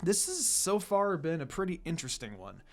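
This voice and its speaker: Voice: medium pitch, sing-song, normal speed, darkish tone. A channel host reads fan fiction expressively, with a mid-range voice that moves up and down a lot. The style is casual storytelling, with music running underneath. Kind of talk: expressive first-person story narration, casual asides. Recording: background music.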